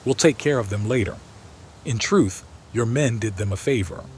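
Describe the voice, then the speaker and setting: A man's voice: mid-range voice, normal speed, lightly acted. A man playing a weary, wry civilian leader of an outcast colony in a dramatized audio comic. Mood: wry, calm. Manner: wry, pointed.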